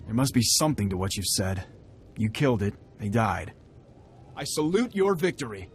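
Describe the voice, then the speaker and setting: An actor playing a proud, confrontational clan warrior in a video game, brusque and scornful toward outsiders. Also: male voice, on the low side, very animated, normal speed, slight background noise. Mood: grudging respect. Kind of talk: video game cutscene acting.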